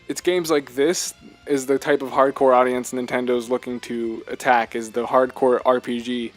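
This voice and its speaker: A man's voice: mid-range voice, little pitch movement, normal speed. Enthusiastic man co-hosting over music. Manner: enthusiastic, emphatic fan talk. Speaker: podcast co-host. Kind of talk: casual video game discussion.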